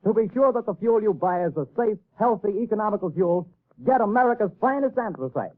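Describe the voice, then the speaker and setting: A man announcing a 1930s radio show and its sponsor in a booming, formal delivery. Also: lively intonation, brisk. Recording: tinny old radio broadcast.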